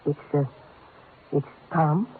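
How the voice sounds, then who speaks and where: A man's voice: on the high side, takes it slowly. An actor playing a small, nervous middle-aged man in a 1950s radio drama, stammering, apologetic and easily flustered. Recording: muffled, noisy old-time radio recording.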